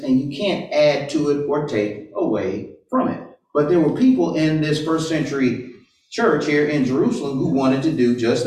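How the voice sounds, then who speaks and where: A man's voice: fairly high pitch, darkish tone, slight background noise. Man preaching in an echoey hall. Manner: asks rhetorical questions and tags sentences with "right?". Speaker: pastor or Bible teacher. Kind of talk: interactive sermon. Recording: roomy echo, far from the mic.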